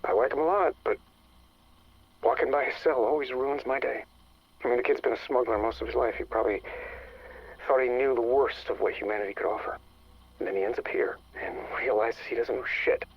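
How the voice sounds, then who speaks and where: A worn-down, bitter man recording a secret log in a video game. His voice is badly husky and full of fry, and he mutters with sardonic, guilty weariness. The recording is muffled and lo-fi. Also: mid-range voice, fairly quick. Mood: jaded, weary. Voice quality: gravelly, husky. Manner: sardonic, foul-mouthed, muttering. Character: weary, bitter, guilt-ridden male prison lab staffer keeping audio logs. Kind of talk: dramatic game voice acting, confessional audio log. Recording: muffled lo-fi radio-like audio log.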